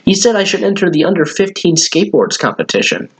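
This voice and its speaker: An excited boy of ten from a children's storybook, played by a man reading aloud. He is eager and earnest, and his lines sit a little higher in pitch. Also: quick pace, husky, heavily acted.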